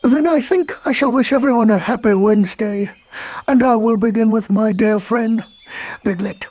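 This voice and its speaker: A man voicing a gentle, naive, friendly cartoon bear in a mild, earnest way. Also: high voice, moderate pace, dry recording. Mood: cheerful, gentle.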